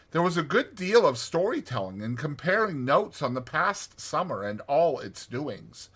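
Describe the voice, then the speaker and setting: A man narrating a classic storybook in an expressive, theatrical audiobook style. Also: fairly high pitch, slightly rough.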